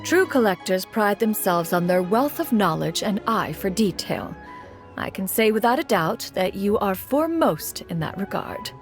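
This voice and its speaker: A woman's voice: medium pitch, very animated, normal speed, faint music behind. A woman voicing a refined, dignified female collector who speaks in a lofty, archaic way, in a video game. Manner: archaic, lofty and elegant speech.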